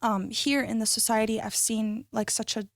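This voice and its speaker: A woman's voice: a little high, fairly even intonation, normal speed, no echo. Guest voice: young woman from North Africa, thoughtful and searching, fairly dry recording. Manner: thoughtful, searching for words with many fillers. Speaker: guest, immigrant rights advocate. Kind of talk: reflective conversational interview answers.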